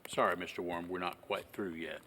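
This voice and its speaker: A man playing a patient, shrewd small-town sheriff in a film, halting and folksy in a deadpan, polite way. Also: low-pitched, slight background noise. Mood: calm, probing. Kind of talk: naturalistic film acting.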